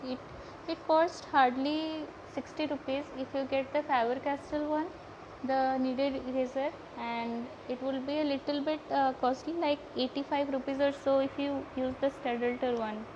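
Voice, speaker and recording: Young Indian female art streamer, Odia native, high voice, halting and informal teaching, muffled low-grade audio. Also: fairly even intonation. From Odisha, India. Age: young adult. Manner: hesitant, stumbling, repeats words. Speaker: art live-stream host and painting teacher. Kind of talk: casual live painting tutorial with chat. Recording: dull lo-fi audio with heavy background noise.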